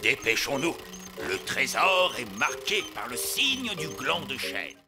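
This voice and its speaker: An actor voicing a boastful, adventurous old elf pirate captain in a French cartoon dub, with a swaggering, theatrical delivery. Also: male voice, medium pitch, big pitch swings, slow pace. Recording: music behind the voice.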